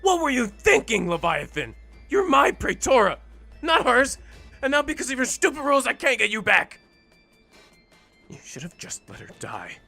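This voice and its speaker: A man playing a bitter, wounded young man in an audio drama, with a raspy voice and a blunt, accusing manner. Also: very high-pitched, huge pitch swings, moderate pace, pushed and bright, soft background music, heavily acted. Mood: angry, accusing.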